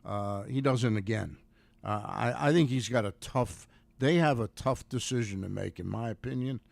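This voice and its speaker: A man co-hosting sports radio, giving casual commentary in a halting way and repeating phrases. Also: mid-range voice, vocal fry, no room sound.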